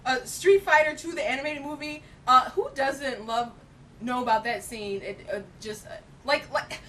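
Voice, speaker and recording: A woman with a fairly high voice chats casually and emphatically about what she likes and dislikes, using plenty of slang. She sounds like an opinionated fan co-hosting a review. The recording is a little distant, with faint noise and rumble. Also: moderate pace, fairly bright tone. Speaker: co-host reviewing films.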